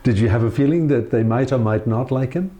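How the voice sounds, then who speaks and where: A man interviewing an older woman about her life, gently prompting her with short follow-up questions. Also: medium pitch, a little fast.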